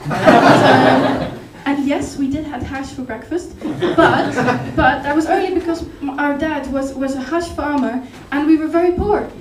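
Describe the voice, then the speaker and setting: A Dutch-accented woman with a high voice works through a stand-up set, full of hesitations and deadpan asides. She sounds distant from the microphone in an echoey club room with loud background noise. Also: measured pace, hardly any pauses. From Netherlands. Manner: rambling, hesitant, deadpan absurd. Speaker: stand-up comedian. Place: comedy club stage. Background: audience laughter.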